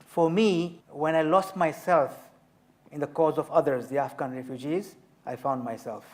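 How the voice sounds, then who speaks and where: A man in his fifties, from Tanzania, telling his story as an author and speaker on a festival panel, measured and emphatic. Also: a little high, steady tone, darkish tone. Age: 50s.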